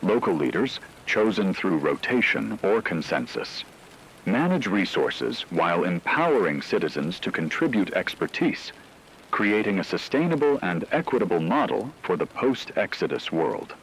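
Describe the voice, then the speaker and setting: A man's voice: low-pitched, big pitch swings, normal speed, a bit bright, a little hiss or hum, rough recording. A man reading a scripted, dramatized radio news report as an anchor, formal and grave.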